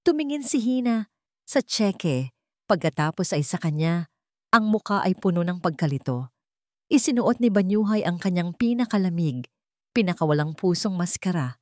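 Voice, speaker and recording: A woman's voice: lowish voice, expressive, moderate pace, darkish tone, no room sound, clean studio sound. A woman narrator reading romance fiction as dramatic scripted storytelling.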